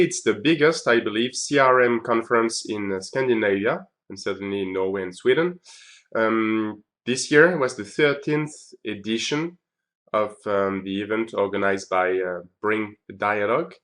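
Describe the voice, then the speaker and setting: A man's voice: fairly low pitch, fairly bright tone. A man giving a chatty conference recap on his video blog, with many ums and uhs. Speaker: video blogger.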